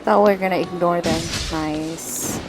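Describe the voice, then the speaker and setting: A woman livestreaming a story game, reacting casually in short, excited interjections. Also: lowish voice, measured pace. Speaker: streamer playing a story game. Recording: game music behind the voice.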